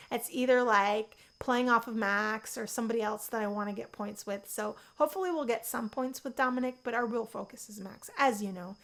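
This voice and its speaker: A woman's voice: fairly high pitch, a bit bright. A woman hosting a let's-play, giving casual gaming commentary. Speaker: host of a visual novel let's-play series.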